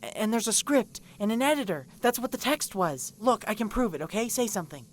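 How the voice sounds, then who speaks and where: An earnest young female pony from a cartoon parody, voiced by a woman. She explains things in a medium-pitched voice. Faint noise sits in the background. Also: animated, fairly quick, lightly acted. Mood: insistent.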